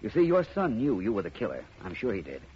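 An actor playing a shrewd, self-assured detective in a 1950s radio drama, suave and deliberate with wry phrasing. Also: male voice, on the low side, huge pitch swings, a little hiss or hum. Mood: explaining calmly. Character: shrewd, self-assured private detective explaining his case. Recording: muffled vintage radio recording.

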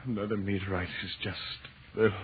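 An actor playing a desperate man fighting a monster, pleading and halting in melodramatic 1930s radio horror style. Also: on the low side, level tone, lightly acted. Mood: shaken dread. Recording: scratchy, muffled 1930s radio broadcast.

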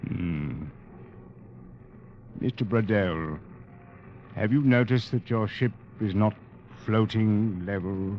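An actor playing a gaunt, coldly courteous doctor in an old radio drama, speaking in a formal, measured, ominous way. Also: male voice, lowish voice, lo-fi sound. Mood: quietly menacing. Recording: band-limited, noisy vintage radio broadcast.

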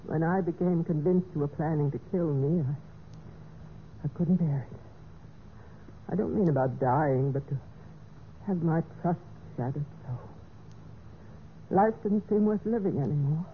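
An actress playing a betrayed, wistful but resolute wife in a measured, theatrical golden-age radio mystery style. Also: female voice, low-pitched. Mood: wounded, wistful. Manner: measured, deliberate, theatrical delivery. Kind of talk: 1940s-50s radio mystery acting. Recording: tinny, noisy old radio recording.

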